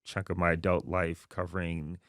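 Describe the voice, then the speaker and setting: A middle-aged man from Queens, New York, born in Haiti, answering interview questions in a relaxed, reflective way with many fillers. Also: low voice, normal speed, dry recording, clean studio sound. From born in Haiti, raised in Queens, New York. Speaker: podcast guest, university dean.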